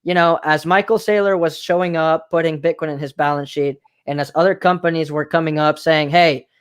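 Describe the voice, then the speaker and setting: A man's voice: fairly high pitch, steady tone, fairly quick, clean tone. A man from Mexico hosting a podcast interview, talking casually and repeating himself for emphasis. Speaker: podcast host.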